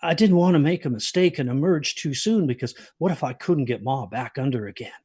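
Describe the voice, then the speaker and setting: Male actor as haunted man telling a ghost story: brooding, hesitant, intense, higher-set voice with some husk. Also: animated, a little fast, a little mellow, breathy edge. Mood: uneasy, brooding. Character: anxious haunted man recalling a stormy night. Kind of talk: first-person dramatic ghost story monologue.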